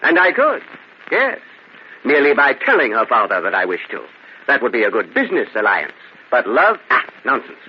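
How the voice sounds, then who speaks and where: An actor playing an arrogant, sneering, pompous Italian braggart in a theatrical old radio drama. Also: male voice, medium pitch, huge pitch swings, fairly quick, slightly rough. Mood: mocking, boastful. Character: arrogant, mocking, wealthy Italian braggart, smoothly condescending. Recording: muffled, hissy old radio drama recording.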